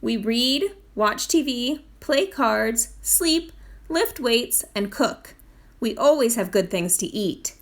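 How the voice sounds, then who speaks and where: A woman's voice: fairly high pitch, expressive, takes it slowly. A woman voicing a friendly, proud male fire captain in a children's storybook, welcoming and explaining things.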